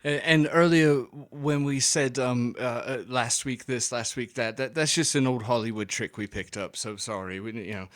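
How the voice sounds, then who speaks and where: A man with a medium-pitched voice banters as co-host of a gaming podcast. He is casual and joking, and he tends to stumble and repeat words as he gets his point out. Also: moderate pace, hardly any pauses.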